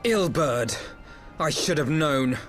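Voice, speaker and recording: A principled young nobleman from a fantasy game. His voice is on the high side for a man, formal and measured as he admonishes and pleads gravely. Music sits faintly underneath. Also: expressive. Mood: grave pleading. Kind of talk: dramatic video game acting.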